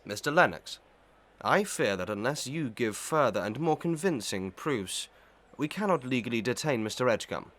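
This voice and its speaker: An actor playing a stern, impartial magistrate in a Victorian melodrama, speaking in a formal, deliberate, official manner. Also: male voice, medium pitch, lively intonation, fairly bright tone, lightly acted. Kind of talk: Victorian melodrama audio play acting.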